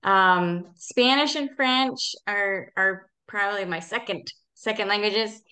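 A woman speaking as an interview guest in casual, halting conversation, often correcting herself as she explains. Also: mid-range voice, sharp tone. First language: English. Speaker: podcast guest, language club organizer.

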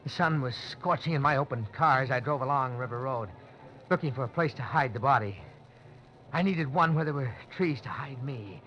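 A man's voice: medium pitch, moderate pace, fairly bright tone, highs cut off, a little hiss or hum. A man narrating in the first person for a 1940s radio drama, tense and nervous. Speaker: narrator and lead character.

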